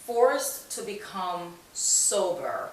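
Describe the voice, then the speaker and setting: A woman's voice: mid-range voice, sing-song, drawn-out words, slight background noise. A woman hosting a talk show in a conversational way, supportive, inquisitive and emphatic. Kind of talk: conversational interviewing. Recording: very echoey room, distant mic.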